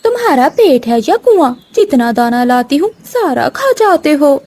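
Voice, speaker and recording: A woman's voice: high voice, very animated, a little slow, a little mellow. A woman voicing a lazy, irritable mother crow with a complaining, snappish manner in a moral-story cartoon. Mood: grumpy.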